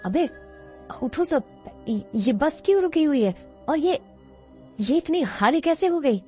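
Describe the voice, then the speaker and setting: Casting: female performer as nervous young male friend in horror cartoon, high-pitched, halting and repetitive, music bed. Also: animated, dark tone. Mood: alarmed. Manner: stammering, repeating words in alarm. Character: anxious young man, one of five traveling friends. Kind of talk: horror cartoon character acting.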